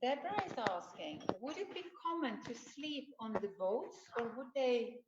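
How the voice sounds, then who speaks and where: A woman's voice: high-pitched, big pitch swings, normal speed. A woman hosting a live stream, reading viewer questions aloud in an upbeat way. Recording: echoey muffled room with faint noise.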